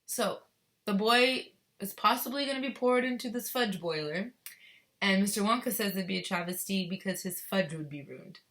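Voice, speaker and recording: A woman teacher reading a children's book aloud, expressively, pausing to explain and put questions to her pupils. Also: mid-range voice, fairly bright tone.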